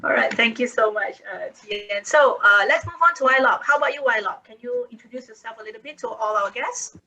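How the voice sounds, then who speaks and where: Bright-voiced woman moderating a webinar. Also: fairly high pitch, a little fast, low-quality recording. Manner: polite, thankful, many fillers. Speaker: panel moderator.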